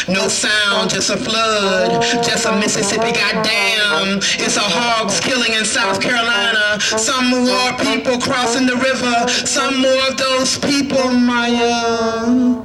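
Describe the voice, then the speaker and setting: A man performing spoken word poetry over live music, with a rhythmic, incantatory delivery and chanted refrains, on an outdoor park terrace. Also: high voice. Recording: echoey outdoor stage with loud live band. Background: live instruments playing.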